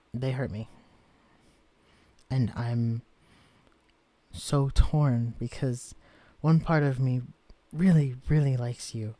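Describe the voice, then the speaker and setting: Male actor as a shrunken romantic lead, guarded yet tender, earnest and soft-spoken, for a listener-directed audio drama; ordinary mid-pitched male voice. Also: close to an everyday voice. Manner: earnest, vulnerable, softly confessional. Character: shrunken man, tender but guarded, easily shaken.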